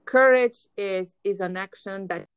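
Woman host, Russian-sounding accent, emphatic and lively, dry close mic. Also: mid-range voice, measured pace, smooth, no room sound. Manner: energetic, emphatic, repeats words when starting. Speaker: podcast host. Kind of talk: casual conversation.

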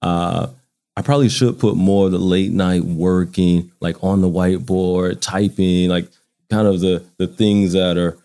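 Low, mellow-voiced American man. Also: fairly even intonation, a little slow. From Baton Rouge, Louisiana, United States. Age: 40s. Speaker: interview guest. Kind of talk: casual conversational storytelling.

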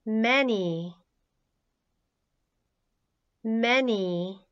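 A woman who is a native Japanese speaker, teaching English by reading out words and sentences with deliberate, measured enunciation for learners. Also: on the high side, clear, resonant tone. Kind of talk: language drill, reading words and sentences twice. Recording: slightly dull, lo-fi audio.

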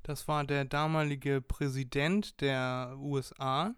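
A man's voice: mid-range voice, level tone, takes it slowly, a bit bright, smooth, dry recording, studio quality. A man co-hosting a casual podcast, explaining things and joking while guessing quiz answers. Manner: joking, plays along, guesses. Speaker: podcast co-host.

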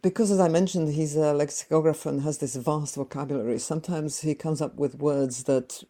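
Interview guest: woman, 60s, native Slovak speaker, mellow timbre, unhurried and reflective. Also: sits low, steady tone. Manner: thoughtful, with frequent pauses and filler "uh"s. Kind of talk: conversational interview answers.

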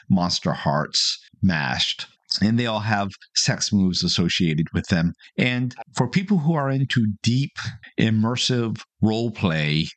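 Male podcast host, lowish voice, conversational and opinionated, recorded dry and close with no room sound. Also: no room sound. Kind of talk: casual podcast talk responding to listener calls.

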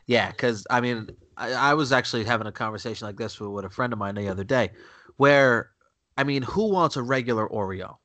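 A man's voice: medium pitch, a little fast, sharp tone, no room sound. A man with a stuffy nose from a cold, chatting bluntly and with strong opinions, often repeating himself. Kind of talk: casual chat. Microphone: remote call connection.

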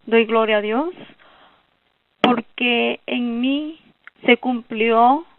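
A woman's voice: on the high side, extremely slow, no echo. A woman from Guatemala with a Guatemalan accent, sincerely giving a recorded personal testimony as a listener. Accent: Guatemalan Spanish. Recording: tinny phone-like audio from far off.

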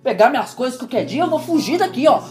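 A scolding countrywoman, played by an actress grumbles in a high voice with a rural Brazilian accent. She is sharp-tongued and folksy, in comic radio serial style, over music. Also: big pitch swings, fast talker. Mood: exasperated, grumbling. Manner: rustic, scolding, colloquial. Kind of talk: comic radio soap opera acting.

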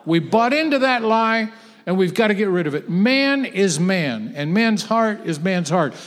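Male preacher, slow and emphatic, slightly high voice, long hall reverb. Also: big pitch swings. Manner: emphatic, repetitive, with halting restarts. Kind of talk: sermon to a conference audience.

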